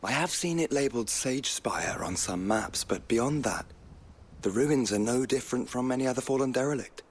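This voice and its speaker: A man's voice: medium pitch, animated, moderate pace, husky. An actor with a British accent playing a thoughtful, scholarly young nobleman in a video game, formal and articulate.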